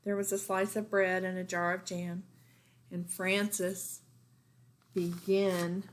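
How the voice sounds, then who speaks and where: A woman's voice: fairly low pitch, slow. A woman teacher reading a picture book aloud expressively for young children. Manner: expressive, pointing out pictures to listeners.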